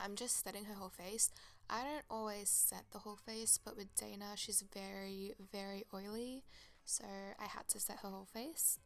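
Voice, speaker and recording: A woman's voice: medium pitch, creaky. A young woman with a cold, her voice a bit crackly, chatting enthusiastically through a makeup tutorial voice-over. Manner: chatty, enthusiastic product recommendations. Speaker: makeup artist.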